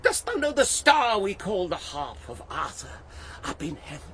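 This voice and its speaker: High, swooping, mocking court fool. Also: male voice, sing-song, normal speed, a bit bright, slight background noise. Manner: swooping between low musing and shrill cries. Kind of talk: theatrical audio drama acting of archaic verse.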